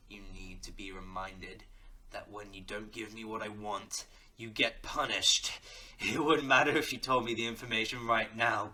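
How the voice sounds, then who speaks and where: A man's voice: fairly low pitch, bright, forceful tone, heavily acted. A man playing a smug, sadistic crime boss in an audio drama, taunting theatrically and slipping into menacing whispers. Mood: vindictive threat. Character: smug, sadistic male crime-boss villain who threatens softly. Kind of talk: audio roleplay drama acting.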